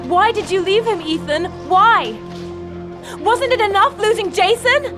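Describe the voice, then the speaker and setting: A woman's voice: very high-pitched, expressive, measured pace, music in the background. An actress playing an anguished, reproachful mother and estranged wife in a dramatic video game. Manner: emotional, accusing.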